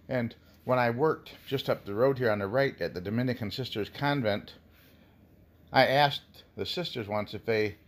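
An American man giving informal documentary narration in a folksy, reminiscing way. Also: mid-range voice. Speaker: local history video narrator.

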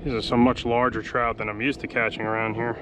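A man's voice: mid-range voice, quick pace. A man in his thirties giving laid-back, chatty commentary while fishing from a kayak on open water, recorded on an action camera. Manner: laid-back, chatty, excited exclamations over catches. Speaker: fishing vlogger. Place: kayak on open water under a pier, outdoors. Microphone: action camera mounted on kayak. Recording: outdoor wind and water noise. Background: water and wind ambience.

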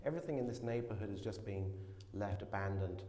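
A man's voice: lowish voice, a little fast, warm tone, slight background noise. A man in his thirties filming a casual walking tour of an abandoned dormitory, explaining what he finds with curiosity, phone held at arm's length. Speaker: urban explorer vlogger. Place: abandoned dormitory building, indoors. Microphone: phone camera held at arm's length.

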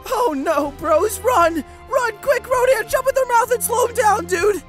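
A goofy male monster voiced by a man yells in a raised, swooping cartoon voice. He is brash, slangy and excitable, like a panicky bro. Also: very high-pitched, a little slow, soft background music, pitched above the performer's own voice, exaggerated voice. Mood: panicked. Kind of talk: cartoon comic dub.

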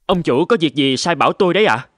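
An actor playing a humble but clever young slave in a cartoon folk tale, deferential and articulate. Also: male voice, on the high side, expressive, quick pace, fairly bright tone, no room sound, studio quality. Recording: music behind the voice.

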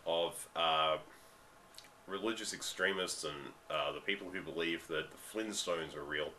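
An Australian man with a very low, bright, edgy voice delivers a ranting webcam commentary. He is getting over a cold and leans hard on stressed words, stretching them out. A faint hiss of room noise sits under his voice. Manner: ranting, emphatic, drawn-out stresses. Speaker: vlogger. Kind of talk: commentary monologue. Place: dim room at home, indoors.

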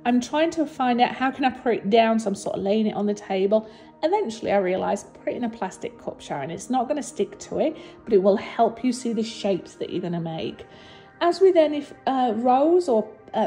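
Woman doing a chatty voice-over for her craft vid, bit husky and tired, mellow tone, soft music under it. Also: on the high side. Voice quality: slightly husky. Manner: chatty, warm and encouraging, with fillers and restarts. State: low energy after recent surgery. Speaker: resin artist and channel host. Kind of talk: casual voice-over narration of a craft video.

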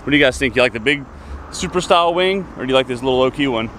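A man's voice: medium pitch, lively intonation, very fast, fairly bright tone. A man in his thirties giving casual, enthusiastic commentary as a car vlogger, talking to his viewers. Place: outdoors by roadside car lots and shops. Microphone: handheld camera mic. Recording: outdoor camera audio with street noise.

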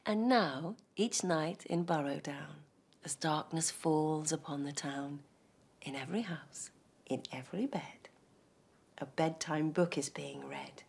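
A woman in her thirties reading a rhyming bedtime story aloud in a studio, soft and hushed, often dropping to a whisper. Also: low voice, slow pace, gravelly, husky. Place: cosy studio set.

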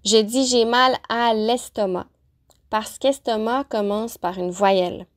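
A woman teacher narrating a lesson, pronouncing example phrases slowly and carefully. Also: fairly high pitch. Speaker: French-as-a-second-language teacher. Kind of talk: clear, deliberate vocabulary lesson narration.

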